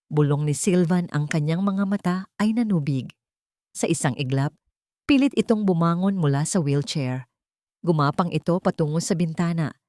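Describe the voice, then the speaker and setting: A woman narrating a dramatic story from a script in an emotive, deliberate way. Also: lowish voice, darkish tone, no room sound, clean studio sound. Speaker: audiobook narrator.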